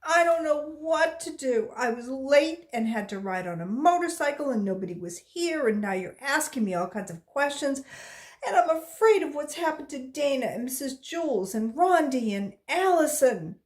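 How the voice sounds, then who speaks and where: A woman voicing a frightened young schoolgirl who pleads in a high, upset voice while reading a storybook aloud. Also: animated, normal speed, darkish tone. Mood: tearful.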